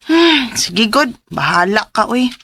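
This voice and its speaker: Blunt skeptical young cebuano girlfriend type, high bright piercing voice, radio drama. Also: big pitch swings, takes it slowly, gravelly, a little hiss or hum, exaggerated voice. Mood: suspicious, chiding. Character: skeptical, blunt young female friend warning of a scam.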